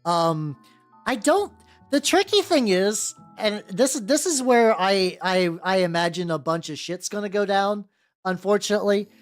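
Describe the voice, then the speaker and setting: A woman rambling through a casual livestream, her pitch bouncing up and down in a lively way. She swears freely and answers her chat as it comes in. Faint music plays underneath her. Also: unhurried. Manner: rambling, chatty and profane, reacting to chat. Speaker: VTuber streamer painting miniatures. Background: faint background music.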